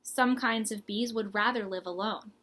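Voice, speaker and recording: A woman's voice: medium pitch, moderate pace, bright, forceful tone. A young woman teaching a children's lesson in a simple, instructive way that invites listeners to join in. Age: young adult. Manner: simple, instructive, encouraging participation. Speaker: children's garden class teacher. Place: living room at home, indoors.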